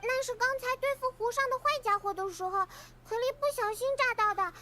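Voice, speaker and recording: Very high-pitched, cheerful little girl. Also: fairly quick. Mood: sheepish. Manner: childlike, earnest, expressive delivery. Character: little girl, cheerful, eager young adventurer. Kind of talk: anime video game voice acting in Mandarin.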